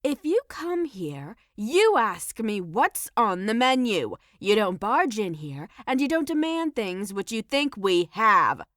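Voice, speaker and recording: A blunt, bossy woman innkeeper, acted by a woman, in a dry, close recording. She speaks slowly and deliberately, with plenty of movement in the pitch. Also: very animated, a bit bright, no echo. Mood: scolding. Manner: blunt, scolding. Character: stern, matter-of-fact female innkeeper, blunt and bossy. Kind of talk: audio play character acting.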